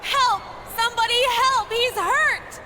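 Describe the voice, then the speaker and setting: A panicked young woman in a video game cutscene. Her pitch is extremely high and her delivery drawn out in fright. There is clear background noise. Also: slow. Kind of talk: dramatic game acting.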